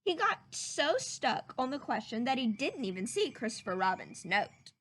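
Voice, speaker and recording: Woman, high voice, very expressive, children's read-aloud, emphatic teacherly storyteller. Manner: slow, animated, emphatic.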